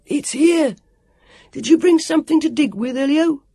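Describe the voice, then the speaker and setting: A woman voicing an excitable, boastful young boy in an audiobook, calling out loud and eager. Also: high voice, normal speed, gravelly, dry recording, clean studio sound, voice pushed up, full character voice. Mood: triumphant, bawling. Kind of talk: audiobook character voice.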